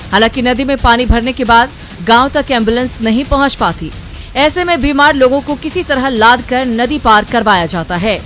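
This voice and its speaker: A woman reading a scripted television news voice-over. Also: fairly high pitch. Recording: loud music bed under voice. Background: music.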